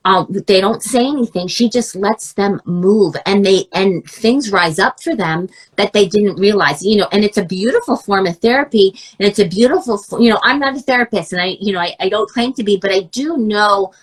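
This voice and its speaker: A woman's voice: mid-range voice, normal speed, few pauses. A woman hosting a dance podcast, talking casually while nursing a cold that leaves her voice scratchy, and filling in with lots of encouraging "mm-hmm"s. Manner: warm encouraging backchannels, many fillers. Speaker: podcast host. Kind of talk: casual interview chat.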